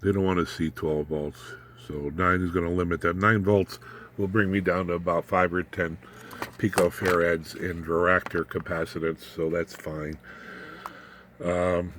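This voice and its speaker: Male hobbyist presenter, deep register, folksy and rambling, informal hands-on explainer, some steady noise behind the voice. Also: unhurried. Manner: folksy, rambling, jokey asides. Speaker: amateur radio electronics video host. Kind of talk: casual off-the-cuff technical demonstration.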